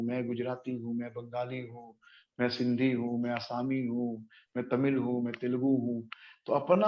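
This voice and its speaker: A man giving an explanatory spiritual lecture as a teacher, asking his listeners rhetorical questions and mixing in English terms. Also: on the high side. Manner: asks the listeners rhetorical questions and checks they follow. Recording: echoey room, dull lo-fi sound, faint noise.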